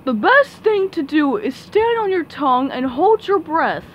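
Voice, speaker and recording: A woman plays a dopey male starfish from a cartoon. He is earnest and oblivious, speaking slowly in an obtuse, matter-of-fact way. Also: high voice, sing-song, highs cut off, a little hiss or hum. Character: dim-witted, earnest male starfish best friend. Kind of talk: hobby cartoon comic dub.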